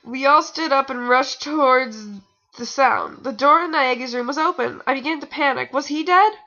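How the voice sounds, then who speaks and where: A woman's voice: high voice, normal speed, pushed and bright, highs cut off. A young adult woman giving a mocking amateur fan fiction reading with rambling, exasperated, theatrical asides, sounding a bit tired. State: tired, sore nose.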